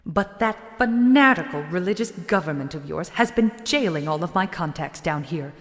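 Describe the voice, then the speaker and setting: A woman's voice: on the low side, expressive, moderate pace, lightly acted. A woman playing a vain, haughty female shadowling merchant in a video game, theatrical, self-absorbed and condescending. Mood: boastful. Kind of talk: dramatic video game voice acting. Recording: long reverb on the voice.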